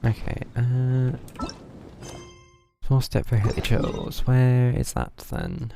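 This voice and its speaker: A man's voice: medium pitch, expressive, slow pace, vocal fry, background noise. A man giving casual live game stream commentary, muttering to himself in a dryly wry way.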